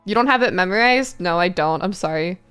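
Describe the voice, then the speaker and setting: A woman's voice: fairly low pitch, animated, brisk, over loud music. A woman doing a comedic dramatic reading as a streamer, giggly and stumbling, with casual asides. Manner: giggly, stumbles over the text. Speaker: streamer reading fan fiction aloud.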